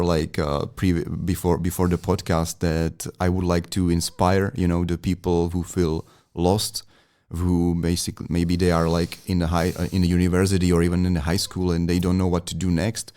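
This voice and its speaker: A man's voice: deep voice, little pitch movement, moderate pace, hardly any pauses, a little mellow. A man hosting a podcast, asking questions in a casual, hesitant way with many fillers. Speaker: podcast host.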